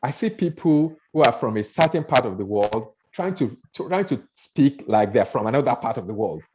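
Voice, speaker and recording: A man's voice: mid-range voice, lively intonation, moderate pace, background noise. A man with a Nigerian accent coaching on public speaking, earnest, often repeating words as he builds his points. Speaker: speaker trainer. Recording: dull lo-fi audio.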